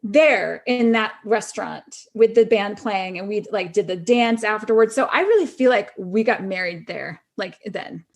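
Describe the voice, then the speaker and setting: A woman's voice: mid-range voice, normal speed, hardly any pauses. A middle-aged woman chatting casually as a podcast guest, telling personal stories with plenty of "like" and "you know". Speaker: podcast guest, actress and singer-songwriter.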